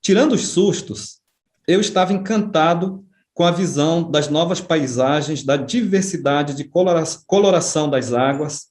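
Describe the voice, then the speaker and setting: A middle-aged Brazilian man telling his life story in an unhurried, reflective way, often adding small tag questions. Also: a little high, darkish tone. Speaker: interviewee, writer and history professor. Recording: echoey room.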